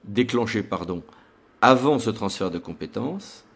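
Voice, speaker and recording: A man's voice: on the low side, lively intonation, measured pace, gravelly. A man presenting a legal tutorial in a didactic, emphatic way, like a lawyer explaining case law to an audience.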